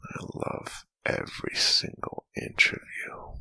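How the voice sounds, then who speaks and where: A man playing an adoring, sensual lover in a whispered intimate roleplay, breathy and murmuring tenderly close to the mic. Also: pushed and bright, dry recording. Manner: slow, tender murmuring with lingering hums. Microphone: close mic, dry.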